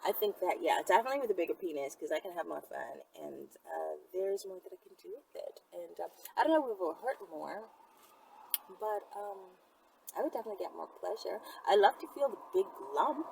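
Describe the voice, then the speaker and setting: A woman's voice: lowish voice, sing-song, fairly quick, creaky. Chatty lady vlogging, swoopy playful delivery, slangy and rambling, noisy audio. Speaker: vlogger answering viewer questions. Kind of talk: casual, chatty, candid monologue to viewers. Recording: noisy, distant mic.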